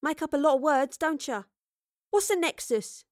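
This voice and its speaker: A woman's voice: high voice, expressive, normal speed, dry recording, studio quality, pitched above the performer's own voice. A woman voicing a feisty, suspicious young working-class girl in an audio drama, blunt and defiant, with a British accent. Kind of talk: audio drama character acting.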